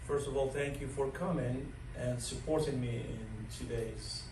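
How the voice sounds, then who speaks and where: A man's voice: medium pitch, steady tone, unhurried. A man in his sixties from Morocco, telling his life story in an interview with plenty of fillers and chuckles. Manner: rambling, chuckles often, many fillers. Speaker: interview guest, dining director. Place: large learning center room. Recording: echoey room from a distance, faint noise.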